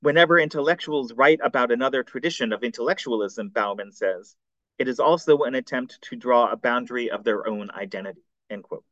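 A man reads his seminar paper in a careful, formal cadence, correcting the odd slip as he goes. His voice sits at an ordinary pitch for a man. The recording is dry and somewhat dull and muffled. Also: a bit bright, no room sound. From United States. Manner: measured, formal reading with occasional slips. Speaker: scholar presenting a seminar paper. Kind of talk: reading a prepared academic paper.

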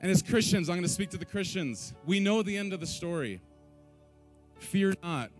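A man's voice: high-pitched, lively intonation, normal speed, soft background music. A man leading a church service, urging the congregation with call-and-response lines and joking asides, then praying. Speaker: worship leader. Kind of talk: church stage exhortation and prayer. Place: church sanctuary.

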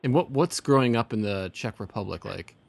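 A man's voice: fairly low pitch, fairly quick, gravelly, no room sound. A man hosting an interview, asking rambling, stacked questions. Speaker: podcast host. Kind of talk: casual interview.

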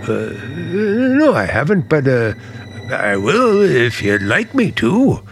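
Slow, gravelly, brooding American uncle. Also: male voice, on the high side, wildly swooping pitch, slight background noise, full character voice. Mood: smooth, generous. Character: charming but bitter, secretive uncle. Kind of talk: mid-century American radio drama acting.

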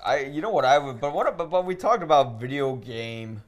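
A man's voice: mid-range voice, expressive, moderate pace, dull audio. A man in a casual, informal podcast chat, talking loosely while playing a video game. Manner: informal, swearing. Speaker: podcast co-host.

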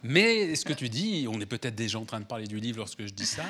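Man, guest author, has a cold, rambling and animated, lots of fillers. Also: medium pitch, quick pace, background noise. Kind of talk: relaxed conversational talk at a public event. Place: event hall before an audience.

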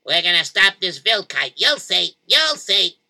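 A man's voice: sits high, big pitch swings, measured pace, gravelly, sharp tone, heavily acted. A man voicing an eager, confident young boy in a spoken story. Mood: bold. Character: brave, cheerful young boy.

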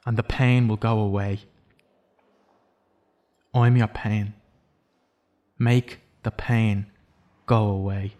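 A man's voice: lowish voice, level tone, dark tone. Intense, remorseful male prisoner. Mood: pleading, coaxing. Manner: insistent, deliberate, persuasive. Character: remorseful imprisoned killer, intense and self-loathing. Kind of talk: audio drama acting.

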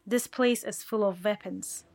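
Woman as a clipped chorus poet, mid-pitched. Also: fairly even intonation, a little slow, a little mellow, natural voice. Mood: declarative. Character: female inhabitant in a chorus of corridor poets. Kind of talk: poetic radio play recitation.

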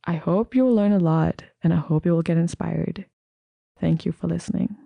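Young woman hosting, slightly creaky voice. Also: on the low side, normal speed, lo-fi sound, noisy background. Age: young adult. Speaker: podcast host and moderator. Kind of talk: casual podcast hosting.

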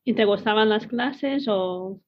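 A woman's voice: medium pitch, slow pace. A woman interviewing a guest, asking short questions in a casual way. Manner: brief questions and backchannel sounds. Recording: dull lo-fi recording.

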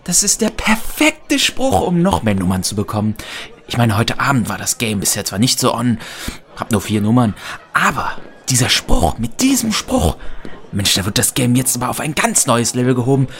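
A man playing a brash, dim young pickup artist in a comedy sketch, slangy and enthusiastic with drawn-out emphasis. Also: medium pitch, very animated, fairly bright tone. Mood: awestruck, excited.

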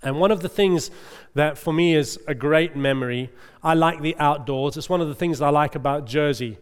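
Middle-aged male preacher, resonant voice pitched a little high, chatty sermon delivery, long hall reverb. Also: normal speed, clear, resonant tone. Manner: chatty, checks in with the congregation with "amen?". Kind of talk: conversational sermon.

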